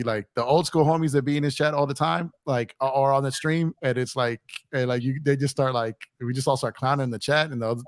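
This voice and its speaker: Male sports talk host, American with a touch of New York, slightly higher-pitched than average, animated and slangy, very dry sound. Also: no room sound. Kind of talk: casual sports chat on a livestream.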